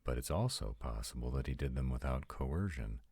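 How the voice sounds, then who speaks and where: A man playing a formal, commanding vampire nobleman in a roleplay audio drama, with a gravelly, husky voice and deliberate phrasing. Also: rumbling low, expressive, mellow, no room sound, clean studio sound, exaggerated voice. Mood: measured, guarded. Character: commanding, arrogant male vampire nobles in tense parley.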